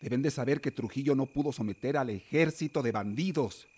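A male actor as a well-off middle-aged Mexican patriarch in a radio drama. His voice is medium in pitch with a rough, husky edge. He is fearful and authoritative, quick to scold. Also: darkish tone, lightly acted. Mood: grave, worried. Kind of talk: Spanish-language radio drama acting.

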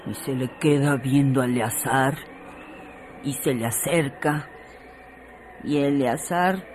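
An actor playing a folksy, colloquial storyteller who narrates a radio drama deliberately and wryly. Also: male voice, medium pitch, a little hiss or hum, lightly acted.

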